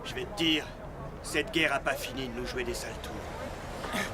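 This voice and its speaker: A man's voice: medium pitch, unhurried, over a noisy crowd, heavy background noise, close to an everyday voice. A man playing a blunt, bitter, slangy rank-and-file soldier in a French-dubbed war film. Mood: gloomy.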